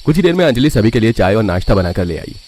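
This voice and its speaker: A man's voice: on the low side, sing-song, fast, darkish tone. A man narrating a scripted cartoon family story.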